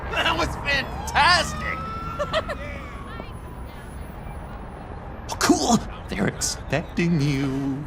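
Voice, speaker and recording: A man playing an eager, unstable, childlike misfit in a video game, stammering and excitable. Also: extremely high pitch, very slow, pauses often, noisy background, heavily acted. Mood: giddy. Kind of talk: video game character acting.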